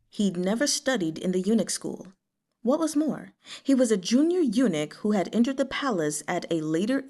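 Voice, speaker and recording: A woman narrates a novel for a read-along in a mid-pitched voice. She delivers it as storytelling, in the style of an audiobook. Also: darkish tone. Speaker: reader hosting a book read-along.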